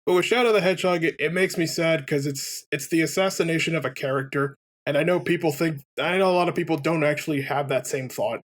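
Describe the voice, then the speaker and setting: Male let's play commentator, higher pitch, loose conversational delivery, rambling opinions with swearing. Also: quick pace, few pauses. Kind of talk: casual opinionated game commentary chat.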